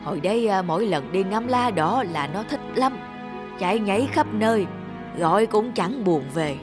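A man's voice: high voice, lively intonation, moderate pace, over music. A man voicing a frail old widowed father in a cartoon, rambling along in a wistful, nostalgic way. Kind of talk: cartoon voice acting.

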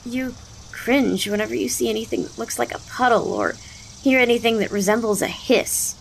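Woman acting a frank, high-voiced young confidante. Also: normal speed, gravelly, a little hiss or hum, rough recording. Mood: firm, worried. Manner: firm, reasoning. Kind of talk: audio drama acting. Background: music.

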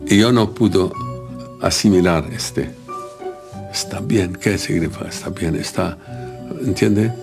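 Slow, gravelly Lebanese man, halting. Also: deep voice, music in the background. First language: not Spanish. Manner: halting, searching for words in broken Spanish. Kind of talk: spoken interview recollection.

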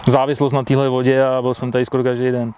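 A man in his thirties answers questions outdoors by a lake, speaking through a clip-on mic. His delivery is plain and matter-of-fact, with little rise and fall in pitch. A faint outdoor hiss sits beneath his voice. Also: monotone, a little mellow. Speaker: carp angler being interviewed. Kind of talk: relaxed outdoor interview. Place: outdoors by a lake, seated at a fishing bivvy.

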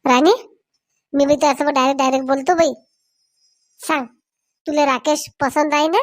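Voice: high voice, fairly even intonation, normal speed, dry recording, studio quality, lightly acted. A performer voicing earnest village elders in a comedy cartoon, coaxing and addressing people by name. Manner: coaxing, repeats names when addressing people. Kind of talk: Marathi comedy cartoon dialogue.

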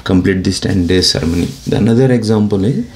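Middle-aged Indian-accented vlogger with a dark, deep voice, earnest and rambling, recorded close up on a device camera. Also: male voice, quick pace. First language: Telugu. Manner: rambling, earnest, gestures with hands. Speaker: YouTuber. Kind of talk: casual talk to camera. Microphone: device camera close up at low angle.

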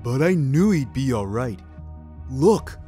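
An eccentric male landlord from an audio story, acted by a man. He is naively earnest and quirky, stressing words with big swings of pitch at a slow pace. Faint music sits behind him. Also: very animated, mellow. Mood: earnest, uneasy. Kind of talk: scripted audio story dialogue.

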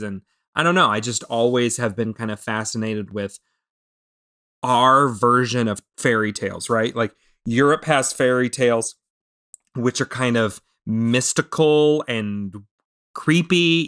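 Male podcast co-host, 30s, American, medium pitch, chatty and enthusiastic, speaking close on mic at home. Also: fairly bright tone. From American Midwest. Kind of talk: casual film discussion. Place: small room at home, indoors. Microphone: close podcast microphone with headphones.

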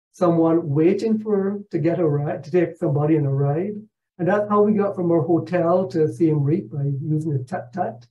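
Bright-voiced older man presenting, dull call audio. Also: fairly high pitch. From Barbados. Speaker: presenter, retired professor. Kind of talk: narrated travel slideshow talk. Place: indoor room at home, on a video call.

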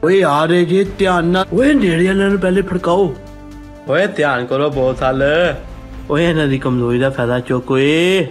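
An actor playing an excitable male Punjabi soldier in a mobile game, barking comic, commanding battle callouts. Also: on the high side, measured pace, lightly acted. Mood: alarmed, urgent. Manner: exclamatory, commanding. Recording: game audio with music behind.

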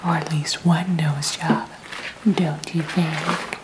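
A woman voicing an odd, cheerful alien housewife dressed as a witch, in a slow, soft, deadpan whispered ASMR roleplay. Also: deep voice, noisy background. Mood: calm whisper. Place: pink Halloween-decorated kitchen set.

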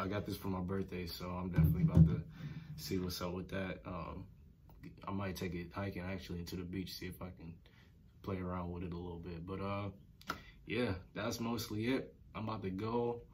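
A man's voice: low-pitched, little pitch movement, fairly quick, darkish tone, a little hiss or hum. A young man talking casually to his vlog viewers in a laid-back, slangy way. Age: young adult. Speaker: vlogger. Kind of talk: casual vlog chat to camera. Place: small bedroom indoors.

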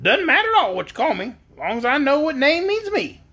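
A cheeky female hen from a children's storybook, acted out by a narrator. The voice sits high and jumps around in pitch. She is brisk, matter-of-fact and always sure she is right. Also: sing-song, bright, forceful tone, heavily acted. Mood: breezy, agreeable. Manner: argumentative, self-assured.

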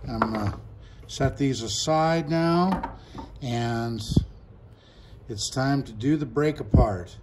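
A man's voice: medium pitch, slow pace, pauses often, noisy background. Chatty middle-aged man demoing gear. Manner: chatty, step-by-step, repeats himself. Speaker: product reviewer. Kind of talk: casual product demo. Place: classroom at a table.

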